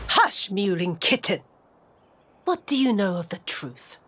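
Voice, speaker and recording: Imperious, wrathful matriarch, swooping dramatically. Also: female voice, mid-range voice, wildly swooping pitch, slow pace, very noisy. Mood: furious. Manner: theatrical, haughty, volatile delivery. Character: imperious, wrathful village matriarch, scornful and menacing. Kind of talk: dramatic video game cutscene acting.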